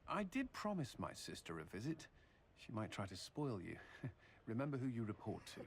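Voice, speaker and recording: Dignified army commander for fantasy game, mid-pitched, earnest, gently wry. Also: male voice, wildly swooping pitch, moderate pace, noisy background. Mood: fond, amused. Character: dignified male military commander, fond of his dog. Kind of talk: video game cutscene acting.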